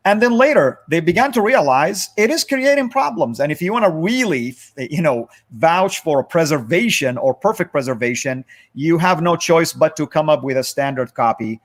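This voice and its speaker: A man's voice: fairly high pitch, animated, normal speed. A man hosting a livestream discussion, thanking moderators and questioning guests with frequent fillers. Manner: conversational, hesitant fillers while moderating. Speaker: host.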